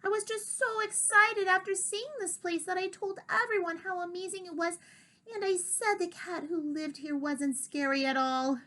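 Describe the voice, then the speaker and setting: A woman's voice: very high-pitched, steady tone, normal speed, bright, forceful tone, voice pushed up. A woman voicing a small, guilty young female cat who apologizes tearfully. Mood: apologetic.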